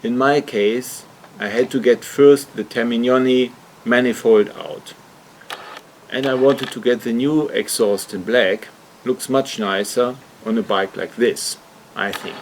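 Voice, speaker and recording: A man's voice: medium pitch, steady tone, unhurried, slight background noise. A man narrating an explanatory hobby vlog in hesitant, slightly awkward non-native English phrasing. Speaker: video host, hobby motorcycle customizer.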